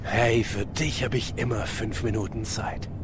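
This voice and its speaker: An actor playing an easygoing, devoted police sergeant in an audio drama. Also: male voice, fairly low pitch, steady tone, measured pace, noisy background, lightly acted. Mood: tender.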